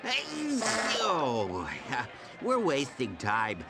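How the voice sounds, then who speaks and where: High whiny superhero sidekick. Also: male voice, wildly swooping pitch, drawn-out words, creaky, exaggerated voice. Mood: exasperated. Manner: whiny, exasperated. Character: impatient exasperated younger male superhero sidekick. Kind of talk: video game cartoon voice acting. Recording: loud noise behind.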